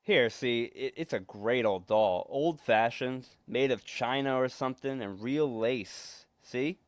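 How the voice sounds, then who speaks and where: A man's voice: medium pitch, unhurried, dry recording, lightly acted. A man acting a nervous, secretive husband with menace under his evasive stammer, in 1940s radio suspense style. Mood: coaxing, uneasy. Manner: stammering, evasive, repeats words.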